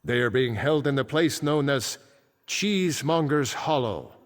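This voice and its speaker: An actor playing a solemn ancient archmage back from death, speaking gravely and formally in fantasy video game dialogue. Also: male voice, mid-range voice, expressive, a little slow, natural voice. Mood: solemn, urgent. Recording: echoing game hall with long reverb.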